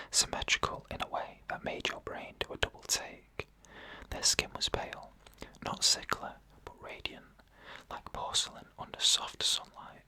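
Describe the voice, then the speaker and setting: Hushed, bright whispering ASMR narrator. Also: low-quality recording. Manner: slow, hushed, deliberate, short pauses between phrases. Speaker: narrator reading first-person stories.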